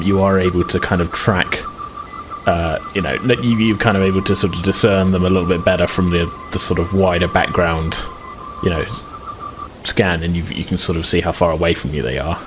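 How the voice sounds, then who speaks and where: A man's voice: low voice, fairly even intonation, moderate pace, noisy background. A man running a tabletop game as game master, narrating loosely with lots of hedges and fillers. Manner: hedging, filler-heavy, easygoing.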